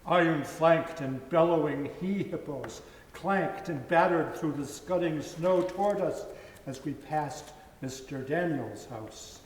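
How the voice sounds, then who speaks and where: Male narrator, slow and measured, slightly high, roomy church reverb. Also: slight background noise. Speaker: reader. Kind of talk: dramatic reading of a story. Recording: echoey hall from far off.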